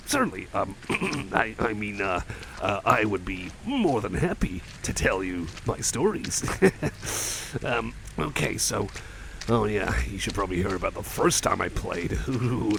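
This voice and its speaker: Man playing a shy, creaky-voiced cyborg buddy. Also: fairly low pitch, noisy background. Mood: shy, eager, then nervous, animated. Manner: hesitant, stammering, self-deprecating, eager to please. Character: shy, rambling, friendly male cyborg companion. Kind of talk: ASMR roleplay, casual storytelling.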